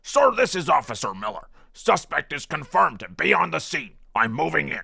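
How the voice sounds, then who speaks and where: Man playing a very creaky-voiced stern cop. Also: fairly high pitch, sing-song, moderate pace, pushed and bright, heavily acted. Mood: stern, official. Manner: official, clipped, commanding. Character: stern, formal male police officer. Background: music.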